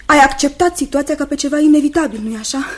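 Woman acting sweet, high-voiced young fiancée, radio play. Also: natural voice. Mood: earnest, tender. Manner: tender, hesitant, repeats phrases, seeks agreement. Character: sweet, earnest, unhappy young fiancée breaking an engagement. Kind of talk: Romanian radio theatre acting.

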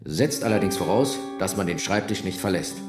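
A sober deep-voiced tax official. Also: male voice, animated, brisk. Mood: matter-of-fact. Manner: matter-of-fact, explanatory. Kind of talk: radio drama acting. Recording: echoey room with loud music.

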